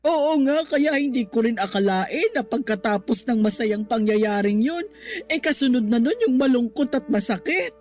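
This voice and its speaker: Radio drama mother, fifties, played by a woman, lovelorn and defensive, plaintive everyday delivery over soft music. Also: a little high, animated, moderate pace, close to an everyday voice. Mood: sorrowful, confessing. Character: lovelorn 53-year-old mother, defensive yet vulnerable.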